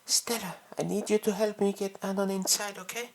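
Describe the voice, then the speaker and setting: A woman voicing a kind, worried young ankylosaur in an audio drama, speaking gently and softly. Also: medium pitch, fairly even intonation, fairly quick, natural voice. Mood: pleading, hushed. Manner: gentle, hushed, mixes in Spanish.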